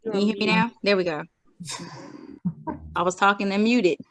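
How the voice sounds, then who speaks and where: A woman's voice: medium pitch, steady tone, moderate pace, a bit bright, low-quality recording. Woman host, upbeat and casual, a bit off-mic on a call. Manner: upbeat, hyping the poets. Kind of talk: casual hosting.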